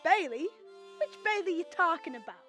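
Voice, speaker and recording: A woman's voice: extremely high pitch, expressive, normal speed, fairly bright tone, faint music behind. A teenage girl playing an angry, aggressive husband in a radio drama, scolding and accusing.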